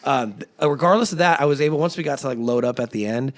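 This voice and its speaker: Talkative man with a slightly raspy voice. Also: medium pitch, quick pace. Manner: rambling storyteller, many fillers and restarts. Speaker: podcast host and band singer. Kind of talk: casual chat among bandmates.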